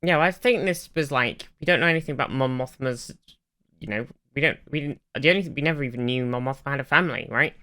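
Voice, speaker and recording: A man's voice: medium pitch, fast, sharp tone, clean tone. A man co-hosting a podcast, chatting casually and informally with plenty of swearing. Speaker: podcast co-host. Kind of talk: casual chat about a TV series.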